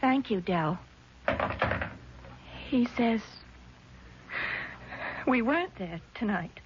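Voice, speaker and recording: Indignant, rattled woman in vintage radio drama; higher-pitched, insistent, faint noise on an old broadcast. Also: animated, extremely slow, dull audio. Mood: shaken, confused. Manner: emphatic, indignant, faltering when doubted. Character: agitated, confused woman insisting on her memory. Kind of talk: 1970s radio drama acting.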